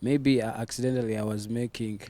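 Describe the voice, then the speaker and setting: A man in his thirties with a Ugandan accent, a singer being interviewed, speaking softly in English and Luganda with halting restarts. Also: mid-range voice, steady tone, no room sound. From Central Uganda. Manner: soft-spoken, halting, with many restarts. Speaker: singer guest. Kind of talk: casual interview talk mixing English and Luganda.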